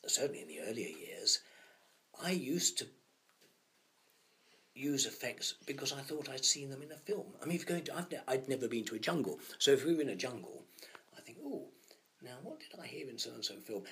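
A man musing about sound effects in an interview, sometimes dropping to hushed asides. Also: medium pitch, very animated, a little fast. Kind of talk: anecdotal interview chat.

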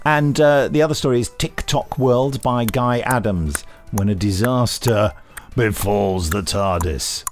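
A man's voice: lowish voice, a little slow, soft background music. A man co-hosting a podcast, giving audio drama news in casual banter. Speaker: podcast co-host.

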